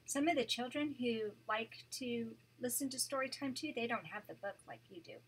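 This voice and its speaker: An older woman, a grandmother, reading a children's story aloud to her grandchild, animated and pausing to point out the pictures. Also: fairly high pitch, husky, poor audio.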